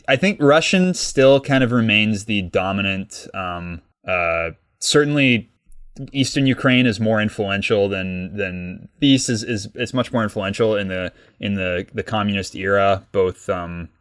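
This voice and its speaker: A man's voice: on the low side, unhurried, a bit bright, smooth, no echo, studio quality. A man co-hosting a podcast, explaining history casually with many fillers and restarts. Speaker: podcast co-host, guest expert.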